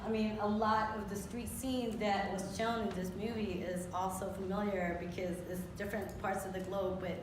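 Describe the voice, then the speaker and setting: A woman's voice: medium pitch, flat delivery, normal speed, few pauses, background noise. Earnest woman echoing in a theater. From Philippines. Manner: earnest, reflective, with many fillers. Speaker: panelist, muralist. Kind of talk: panel discussion. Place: theater with audience. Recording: echoey theater from far off.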